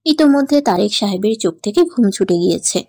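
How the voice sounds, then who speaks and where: A woman's voice: mid-range voice, expressive, fast talker, warm tone, no echo, studio quality. A woman narrating a scripted dramatic story as its storyteller.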